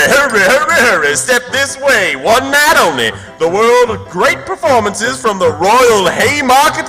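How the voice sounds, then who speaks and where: Fake nobleman, theatrical, self-pitying, widely swinging pitch, music behind, radio drama. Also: heavily acted. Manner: theatrical, declamatory. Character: bombastic self-pitying swindler posing as a nobleman. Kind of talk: old-time radio theatre acting. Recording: reverberant hall sound with music behind.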